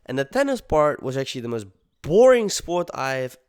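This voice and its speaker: A man's voice: mid-range voice, big pitch swings, normal speed, clear, resonant tone, no echo. Casual young man rambling to viewers. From Netherlands. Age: 20s. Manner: rambling, slangy, swears, talks straight to the audience. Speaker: podcast host. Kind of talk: casual solo monologue to viewers.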